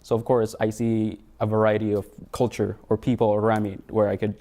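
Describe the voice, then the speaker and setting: A man's voice: lowish voice, level tone, brisk, warm tone. A young man in his twenties of Filipino background, answering interview questions in a plain, earnest way. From Philippines. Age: 20s. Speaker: student interviewee.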